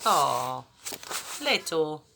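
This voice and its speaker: Woman reading a children's story, creaky low voice. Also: very slow, slight background noise. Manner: expressive, addressing young listeners directly. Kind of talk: read-aloud children's story with chatty asides.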